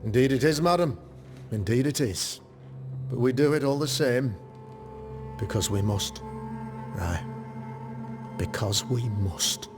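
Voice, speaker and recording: A man playing a pious, grave colonial demonologist in a video game, speaking in a formal, measured, rhetorical way. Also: medium pitch, lively intonation, over music. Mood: resolute. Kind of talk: dramatic video game cutscene acting.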